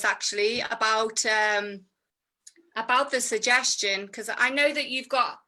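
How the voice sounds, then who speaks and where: A woman's voice: on the high side, pushed and bright. A woman hosting an improv scene, chatty and giggly with lots of fillers. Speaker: improv performer and host. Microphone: video call.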